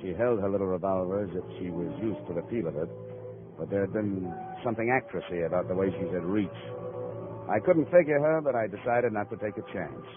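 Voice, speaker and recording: Muffled old radio broadcast with music behind. A man acting a low-voiced American private eye, terse and deadpan, narrating his case in hard-boiled 1950s style. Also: fairly quick, lightly acted. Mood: wry, tense.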